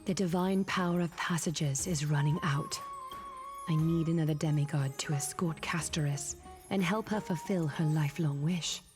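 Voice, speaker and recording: An actress playing a noble, stern demigod woman in a video game, speaking in a formal, measured, commanding way. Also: low-pitched, a little mellow, soft background music, lightly acted. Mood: grave. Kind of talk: video game character acting.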